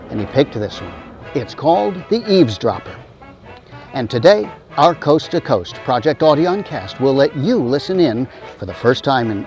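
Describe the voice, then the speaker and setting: A man's voice: mid-range voice, a little mellow. A man presenting as host of a vintage radio drama series, scripted, emphatic and showmanlike.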